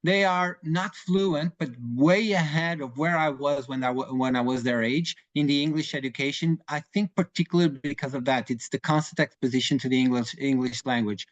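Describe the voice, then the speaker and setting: Man, 40s, Portuguese accent, hesitant with fillers, fairly high pitch, video call. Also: a little fast, hardly any pauses, lo-fi sound. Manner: hesitant, many fillers and restarts. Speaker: lawyer advising clients. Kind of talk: webinar discussion.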